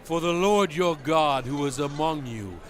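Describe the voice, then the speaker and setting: An actor playing a solemn, commanding prophet in a dramatized audio Bible, stern and fervent, speaking with deliberate, emphatic oratory. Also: male voice, fairly high pitch, natural voice. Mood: fervent, rousing. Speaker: dramatized scripture narrator. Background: music.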